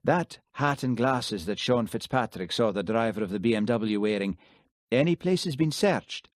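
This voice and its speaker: A man's voice: medium pitch, expressive, moderate pace, dry recording, natural voice. A man doing a dry village policeman. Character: Highland police constable, easygoing and shrewd.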